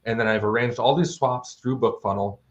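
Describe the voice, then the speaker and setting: A man's voice: medium pitch, brisk, muffled recording. A man chatting casually as an interview guest, explaining things in an informal, conversational way. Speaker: interview guest, fantasy author.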